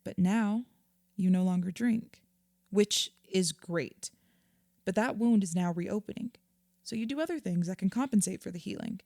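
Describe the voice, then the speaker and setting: Audio drama: actress as earnest female therapist, mellow tone, measured and reassuring, very dry studio-close sound. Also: medium pitch, dry recording, lightly acted. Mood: earnest, explanatory. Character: patient, earnest female therapist counselling a client. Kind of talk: naturalistic audio drama acting.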